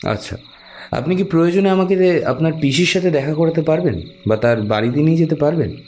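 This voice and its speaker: An actor playing a calm, self-assured male tantric healer in an audio drama, speaking in a measured, probing way. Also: a little high, soft background music, close to an everyday voice.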